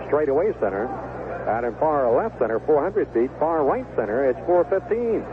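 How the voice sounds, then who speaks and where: A man calls a ball game in a folksy, conversational manner, his pitch swinging in an animated way. The sound is a thin, narrow 1970s radio broadcast with clear noise behind him. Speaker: baseball play-by-play announcer. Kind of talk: 1970s radio baseball commentary. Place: stadium broadcast booth. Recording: lo-fi, noisy vintage AM radio broadcast. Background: ballpark ambience and noise.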